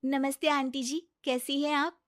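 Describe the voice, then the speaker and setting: A woman voicing a gentle, polite young daughter-in-law in a cartoon story. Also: high-pitched, flat delivery, unhurried, dry recording, studio quality, voice pushed up. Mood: earnest. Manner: polite, soft, earnest.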